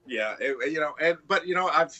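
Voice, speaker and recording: A man's voice: a little high, lively intonation, fairly quick, pushed and bright, slight background noise. A middle-aged Canadian man from Ontario, chatting as a guitar tech guest in a casual interview, rambling with many restarts and "you know"s.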